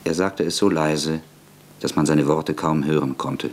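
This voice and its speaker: Very deep-voiced male narrator. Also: fairly even intonation, measured pace, a little mellow. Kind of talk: scripted literary narration in a radio drama.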